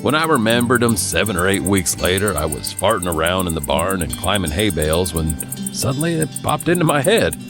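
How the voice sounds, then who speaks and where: A man's voice: low-pitched, fairly quick, music in the background. An actor playing a folksy old man telling a tale of his farm boyhood in an audio drama. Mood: amused, reminiscing. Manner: folksy, animated, mimics other speakers. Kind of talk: scripted storytelling in an audio drama.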